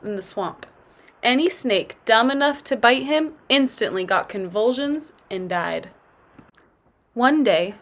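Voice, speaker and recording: Lady teacher doing a read aloud of a kids book, voice a bit high. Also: a bit bright. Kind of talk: read-aloud storybook narration for pupils.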